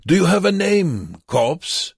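A man's voice: mid-range voice, animated, slow, creaky, husky, dry recording, clean studio sound, pitched above the performer's own voice, heavily acted. A man voicing a bitter, goading concentration camp prisoner in an audiobook, taunting and urgent. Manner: taunting, urgent, sometimes whispered. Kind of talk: audiobook character voice.

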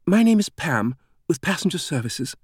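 A man's voice: a little high, big pitch swings, normal speed, dry recording, clean studio sound, pitched above the performer's own voice. A man voicing an exuberant, chatty middle-aged British woman with a sing-song, gushing delivery in an audiobook. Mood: bright, exuberant.

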